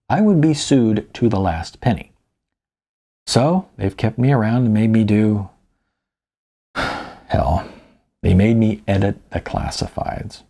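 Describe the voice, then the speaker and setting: Man acting a weary, gravelly fifty-ish writer. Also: lowish voice, mellow, exaggerated voice. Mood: resigned, bitter. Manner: halting, rambling, sardonic. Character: weary, self-deprecating almost fifty-year-old male magazine writer, confessing.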